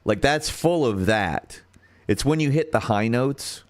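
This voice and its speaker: A man with a deep radio-style voice and an American accent, hosting a casual livestream and thinking out loud as he searches for words. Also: animated, a little slow. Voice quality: turns nasal when singing high.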